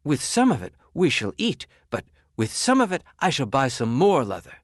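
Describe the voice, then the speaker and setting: A man acts out a humble storybook shoemaker, earnest and grateful. He stammers in a fluster, his pitch swinging widely, in a dry, close sound. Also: wildly swooping pitch, normal speed, no echo. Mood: eager.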